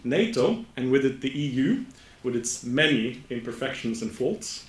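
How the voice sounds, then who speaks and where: A man from Norway with a Norwegian accent giving a conference presentation, speaking slowly and carefully and often correcting himself mid-word. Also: mid-range voice, lively intonation. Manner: slow, careful delivery with frequent self-corrections. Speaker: academic speaker. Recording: echoey room.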